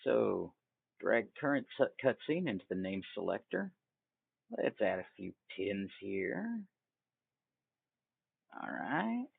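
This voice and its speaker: A man giving a casual step-by-step software tutorial, folksy and thinking aloud as he goes. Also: lowish voice, sing-song, slow, gravelly, pauses often, breathy edge. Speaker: tutorial host. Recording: tinny, phone-like narrow band.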